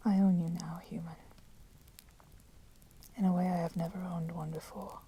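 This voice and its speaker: A woman playing a coolly menacing, possessive female vampire in a whispered ASMR roleplay, with soft, breathy delivery and formal, deliberate phrasing. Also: lowish voice, lightly acted. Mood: controlled, possessive. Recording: steady background noise, crackling fire sound. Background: crackling fire.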